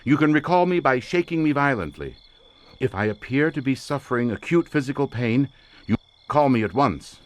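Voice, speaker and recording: A fervent, imperious male researcher of the occult, played by an actor in an audio drama. He speaks in grand, formal phrases with a theatrical edge. His mid-pitched voice rises and falls dramatically. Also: lively intonation, moderate pace, close to an everyday voice. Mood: intense, instructing.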